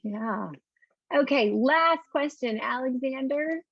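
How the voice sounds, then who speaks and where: A woman's voice: high-pitched, slow pace, gravelly, husky, no echo. A woman hosting a children's question session as a teacher, speaking informally and encouragingly. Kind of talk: casual moderating of a virtual question session. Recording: dull lo-fi call audio.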